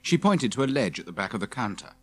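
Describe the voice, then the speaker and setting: A man's voice: medium pitch, very animated, fast talker, fairly bright tone, a little hiss or hum. A man reading scripted narration for a children's audiobook.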